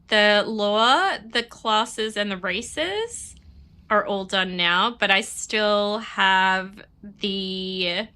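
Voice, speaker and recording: A woman with a bright, clear voice chats away to her stream while she plays, sniffling a bit from a cold. She rambles easily from one thought to the next and laughs often, and she talks at an unhurried, slow pace. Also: mid-range voice. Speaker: game streamer. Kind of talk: casual chat while playing.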